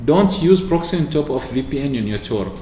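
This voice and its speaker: A man from Oman chatting casually through a software walkthrough, explaining with fillers and restarts. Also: mid-range voice, lively intonation, brisk, slight background noise. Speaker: tech video host. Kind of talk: screen-recorded software walkthrough. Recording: echoey room with faint ambience.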